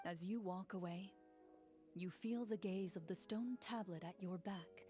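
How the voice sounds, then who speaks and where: An actress playing a calm, mysterious game master, narrating a fantasy adventure in a measured, dramatic way. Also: female voice, medium pitch, lightly acted. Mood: portentous. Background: music.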